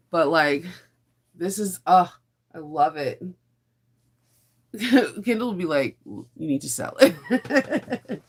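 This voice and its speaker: A woman hosting a casual sewing live stream, her voice raspy because she is losing it to a sinus illness, chatting in a rambling, laughing way. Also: low voice. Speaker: sewing channel host.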